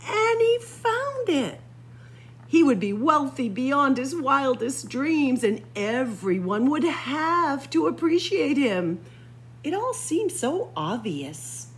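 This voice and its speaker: Slow, high-voiced woman reading a storybook. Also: big pitch swings. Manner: dramatic pauses and drawn-out emphasis for young listeners. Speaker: library story-time reader. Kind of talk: expressive read-aloud of a children's picture book. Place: library room with bookshelves.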